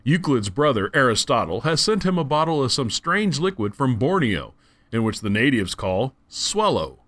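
A man's voice: medium pitch, very animated, moderate pace, a bit bright. A man giving an enthusiastic, conversational audiobook review. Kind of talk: casual spoken review.

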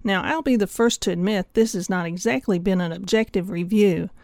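A woman reading a scripted audiobook review in a measured, enthusiastic way. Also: medium pitch, creaky, darkish tone, dry recording, studio quality.

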